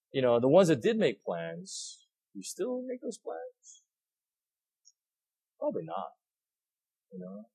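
Male pastor, husky, animated pitch, rambling conversational sermon full of fillers. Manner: rambling, many fillers and repeated words, asks the audience questions.

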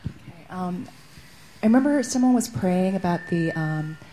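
A woman sharing a testimony at a church meeting, pausing often with hesitant ums. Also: on the low side, expressive, mellow, a little hiss or hum, rough recording. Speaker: congregant giving a testimony. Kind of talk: spoken testimony.

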